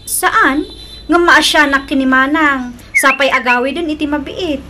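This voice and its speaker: A woman's voice: high-pitched, measured pace, pushed and bright, a little hiss or hum. A woman playing a helpful grown daughter in a comedic radio drama, with an Ilocano accent.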